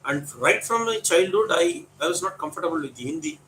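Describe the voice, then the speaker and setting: A man in his forties from Tamil Nadu with a Tamil accent, telling a frank personal story in English with pauses and fillers. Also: fairly high pitch, lively intonation, bright, forceful tone, slight background noise, rough recording. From Tamil Nadu, India. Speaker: coaching center teacher.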